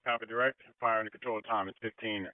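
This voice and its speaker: Through a narrow, phone-like radio channel comes a man's low, smooth voice. He is a dispatcher relaying short procedural messages, with a dry sound and no echo. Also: steady tone, fast talker. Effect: two-way radio. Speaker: fire dispatcher. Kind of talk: terse dispatch radio traffic.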